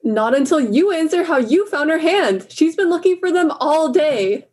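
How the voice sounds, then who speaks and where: A woman acting as a protective crewwoman aboard a spaceship in a comic audio drama. Her voice is very high, insistent and defensive. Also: animated, moderate pace, a little mellow.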